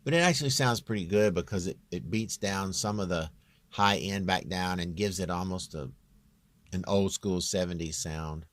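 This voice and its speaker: A man with a very low, near-bass voice giving a casual, off-the-cuff software walkthrough in a rambling, plain-spoken, self-deprecating way. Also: moderate pace, dry recording. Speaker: home-recording singer giving a tutorial.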